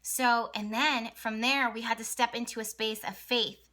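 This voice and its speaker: A young adult woman hosting an astrology video channel, hoarse and raspy today from a partly lost voice, talking in an excited, rambling way with many fillers and restarts. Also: a little high, normal speed. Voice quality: raspy, voice cracks at times. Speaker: astrology vlogger and channel host. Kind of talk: casual astrology talk to camera.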